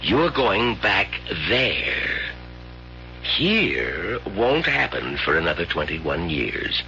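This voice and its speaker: An actor playing a mysterious, coolly persuasive stranger in an old-time radio drama, theatrical and insinuating. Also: male voice, deep voice, sing-song, slow, gravelly, bright, forceful tone, heavily acted. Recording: dull vintage radio recording with music.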